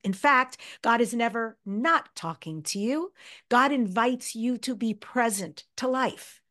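A woman with a medium-pitched voice hosts a spiritual interview podcast. She talks slowly in short, measured phrases and comes across as earnest and affirming. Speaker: podcast host.

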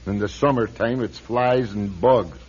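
An actor playing a blunt, weary old priest in a radio drama, husky and sardonic, on a muffled recording. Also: male voice, lowish voice, a little slow, darkish tone. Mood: exasperated. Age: older. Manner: gruff, sardonic, plain-spoken. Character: blunt, weary, grumbling old Irish-American parish priest.

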